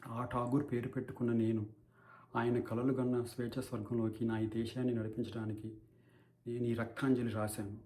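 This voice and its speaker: A man's voice: mid-range voice, warm tone, close to an everyday voice. A man acting an anguished, righteous crusader in an impassioned, declamatory courtroom monologue against corruption. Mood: solemn, reverent. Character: righteous, anguished male crusader against bribery addressing a judge. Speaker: actor performing a film courtroom monologue. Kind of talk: dramatic theatrical courtroom monologue.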